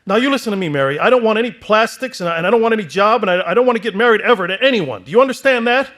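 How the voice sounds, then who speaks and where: A man's voice: high voice, lively intonation, racing, a bit bright. An actor playing an impulsive, earnest young man in a radio play, stammering and emphatic. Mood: urgent.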